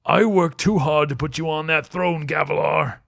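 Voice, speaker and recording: A man voicing a gruff, loyal warrior lord in a fantasy audiobook, grim, blunt and urgent. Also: fairly high pitch, moderate pace, fairly bright tone, dry recording, studio quality, pitched above the performer's own voice, exaggerated voice. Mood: grim resolve. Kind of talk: dramatic fantasy audiobook reading.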